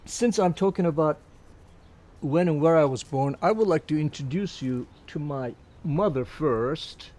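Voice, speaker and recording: A man, recalling his life in a casual interview, halting with many fillers and restarts. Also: fairly high pitch, a little mellow. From Turkey. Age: 70s. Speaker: interviewee, musician.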